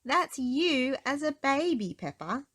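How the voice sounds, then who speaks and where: A woman voicing a patient, amused mother pig in a children's storybook, explaining things gently and emphatically. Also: high-pitched, sing-song, slow pace, voice pushed up, full character voice.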